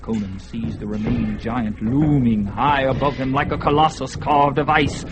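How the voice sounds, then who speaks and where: A man giving dramatic scripted narration for a radio drama, measured and intense. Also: mid-range voice, steady tone, over music. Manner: dramatic, measured, rising with the action.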